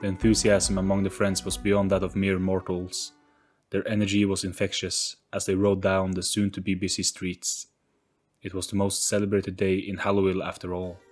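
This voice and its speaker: A man reading a scripted spooky storybook in a deliberate, theatrical storytelling manner. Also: sits low, completely flat delivery. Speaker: narrator. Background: music.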